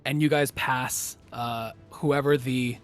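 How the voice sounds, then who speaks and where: A man running a tabletop game as game master, narrating the action casually and thinking aloud in halting phrases. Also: medium pitch, fairly even intonation, faint music behind.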